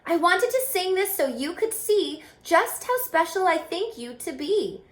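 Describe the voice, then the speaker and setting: A woman's voice: very high voice, big pitch swings, unhurried, pitched above the performer's own voice, heavily acted. A woman voicing a small, earnest, selfless male Christmas elf from a storybook in a sincere, sing-song way. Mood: tender, singing. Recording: roomy, echoing room sound.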